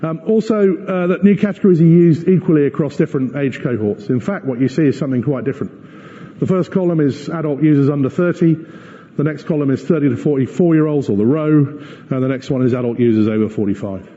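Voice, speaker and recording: Very dark-voiced man presenting in echoey hall. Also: fairly high pitch, a little fast. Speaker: company executive presenting results. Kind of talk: corporate presentation with slides. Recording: muffled echoey hall recording.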